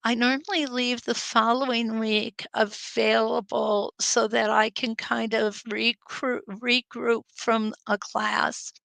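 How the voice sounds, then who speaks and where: A woman's voice: fairly high pitch, little pitch movement, takes it slowly, creaky, few pauses, fairly bright tone, no room sound. A woman with an Upper Peninsula Michigan accent, hosting a casual quilting live stream, chatty, laughing often and talking straight to viewers. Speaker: quilting teacher and show host.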